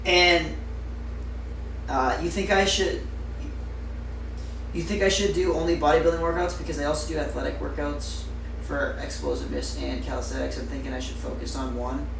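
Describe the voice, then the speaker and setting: A man's voice: a little high, animated, normal speed, long pauses, recorded from a distance. A young man livestreaming, chatting casually with viewers in a rambling way full of fillers. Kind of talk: casual live-stream chat. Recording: roomy echo, off-mic, faint noise.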